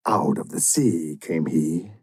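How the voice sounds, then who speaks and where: A man's voice: deep voice, takes it slowly, a little mellow, no echo, clean studio sound. A man voicing an old weathered male sailor recounting his voyage in a grave, intoning manner.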